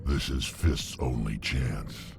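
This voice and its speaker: An actor voicing a hulking alien mercenary in a video game, gravelly, menacing and deliberate. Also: male voice, rumbling low, background noise, exaggerated voice. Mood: cold menace.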